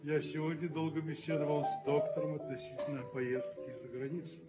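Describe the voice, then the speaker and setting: An actor playing a genteel, controlling middle-aged father in a radio play, measured, earnest and persuasive. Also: on the high side, fairly even intonation, over loud music, lightly acted. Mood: gentle, evasive. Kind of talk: radio play stage acting. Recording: muffled 1970s radio drama recording.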